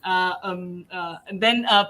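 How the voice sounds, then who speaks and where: A woman hosting a panel discussion as a podcast host, putting questions to guests in halting, hesitant phrases. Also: on the low side, fairly even intonation, pushed and bright, slight background noise.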